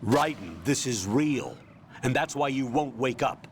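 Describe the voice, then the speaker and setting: Actor as a stern, impatient military colonel, faint noise. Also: male voice, mid-range voice, expressive, unhurried, close to an everyday voice. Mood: impatient, curt. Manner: curt, authoritative. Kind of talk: video game cutscene acting.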